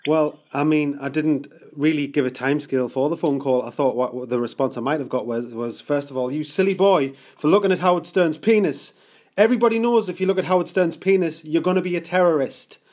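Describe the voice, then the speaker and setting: A man's voice: on the high side, fairly quick, darkish tone. A British man making an improvised prank call, rambling and stammering with mock-earnest seriousness. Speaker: prank caller.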